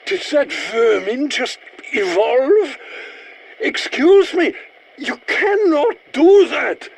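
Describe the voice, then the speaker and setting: Fussy, theatrical scientist, swooping pitch. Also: male voice, very animated, slow pace, vocal fry, heavily acted. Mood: alarmed, flustered. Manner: precise, pompous, theatrical diction. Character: eccentric, fussy, sardonic male scientist, theatrical and squeamish. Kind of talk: video game character voice lines.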